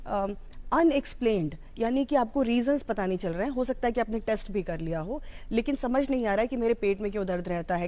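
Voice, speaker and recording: A woman's voice: medium pitch, mellow. A woman with an Indian accent giving an informal, explanatory educational talk, mixing in English terms. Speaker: psychology educator.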